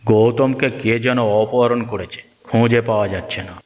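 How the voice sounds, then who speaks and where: A man's voice: mid-range voice, moderate pace, muffled recording, natural voice. A man playing a commanding, shrewd village master who gives orders and judgement in a Bengali cartoon. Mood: measured authority. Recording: long hall reverb, music underneath.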